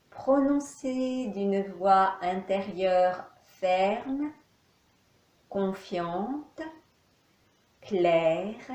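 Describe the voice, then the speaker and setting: A woman teacher guiding a yoga nidra relaxation session in slow, soft, calm instructions with long pauses. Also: mid-range voice, reverberant. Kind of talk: guided relaxation.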